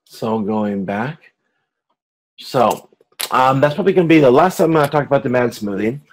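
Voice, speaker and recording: A man with a medium-pitched voice delivers an informal lecture. He explains as a course instructor would, often doubling back to repeat or reword a phrase before carrying on. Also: expressive, a little hiss or hum, rough recording. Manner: halting, repeats and rephrases himself as he explains.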